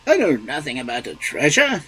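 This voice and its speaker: A man voicing a meek old peasant husband in a storybook reading, mild and protesting, with a husky voice. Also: a little high, huge pitch swings, brisk, pushed and bright, exaggerated voice, rough recording.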